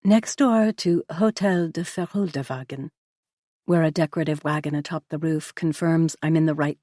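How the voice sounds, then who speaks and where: A woman narrating a novel in the first person, with a measured, even storytelling delivery. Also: lowish voice, no echo, clean studio sound. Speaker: audiobook narrator.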